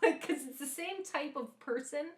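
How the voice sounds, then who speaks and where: Animated young woman, bright voice, echoey room. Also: sits high, normal speed. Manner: animated storyteller, acts out voices and sounds. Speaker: podcast host and actor. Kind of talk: casual chat.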